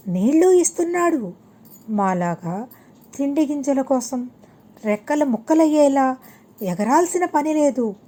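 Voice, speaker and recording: A woman's voice: fairly high pitch, warm tone, slightly rough, heavily acted. A woman voicing a pair of small, humble, anxious sparrows in a children's storybook reading.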